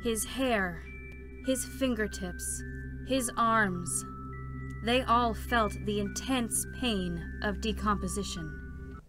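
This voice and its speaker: A woman giving scripted dramatic narration as a solemn storyteller, with a measured, grave delivery. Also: medium pitch, lightly acted. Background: clear background music.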